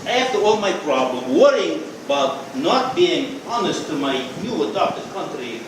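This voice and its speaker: A man's voice: high voice, very animated, measured pace. A man improv player acting as an earnest immigrant who speaks broken English. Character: immigrant man proud of his adopted country. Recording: echoey hall from far off, noisy.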